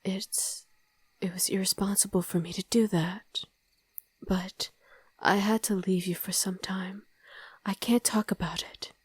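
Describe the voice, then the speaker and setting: A woman's voice: medium pitch, fairly even intonation, breathy edge, no echo. A woman playing a loving, wistful vampire wife in an intimate ASMR roleplay, speaking tenderly and often in a whisper, close to the microphone. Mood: apologetic. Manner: slow, tender, coaxing murmur. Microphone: close, dry microphone.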